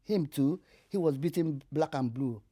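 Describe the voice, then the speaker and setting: Woman, older, Nigerian accent, mellow warm tone, animated storyteller. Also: low voice, normal speed, breathy edge. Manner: animated storytelling, laughs while telling hard memories. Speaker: interview guest. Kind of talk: recounting her life story.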